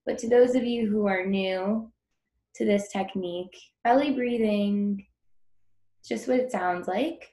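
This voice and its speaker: A woman leads a guided breathing meditation at a slow, unhurried pace. Her voice has a noticeable creak at an average pitch, and she instructs gently and informally. The sound is roomy, with audible echo.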